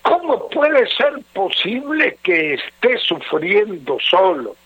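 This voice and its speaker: A man speaking as an astrologer and advice host on a radio show, with an emphatic, dramatic delivery in short, drawn-out phrases. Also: high voice, very animated, breathy edge, no room sound, lo-fi sound. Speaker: astrologer and advice host answering listeners' letters. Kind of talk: radio advice show, reading letters aloud and answering.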